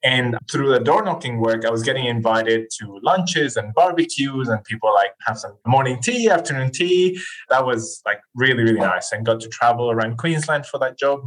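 A man's voice: mid-range voice, rough recording. Chatty man around forty. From Chile. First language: Spanish. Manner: animated, chatty, laughs easily, frequent "like" fillers. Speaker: podcast guest. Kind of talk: casual interview storytelling.

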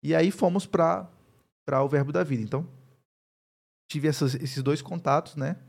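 A man in his thirties with a mixed accent from Paraíba, Brazil, a pastor calmly telling his story as an interview guest. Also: a little high, normal speed, vocal fry. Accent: mixed Paraíba Brazilian Portuguese. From Campina Grande, Paraíba, Brazil. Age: 30s. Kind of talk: casual interview conversation.

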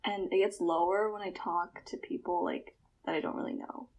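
A woman's voice: lowish voice, fairly even intonation, moderate pace, a little mellow. A teenage girl chatting casually and self-deprecatingly with friends on a podcast. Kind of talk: casual chat among friends.

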